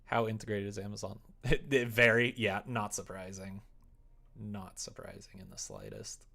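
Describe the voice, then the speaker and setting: A creaky-voiced livestreamer chatting casually and thinking aloud while coding, rambling, muttering and a little self-deprecating. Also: deep voice, animated, normal speed, pushed and bright. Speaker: livestreamer making a game.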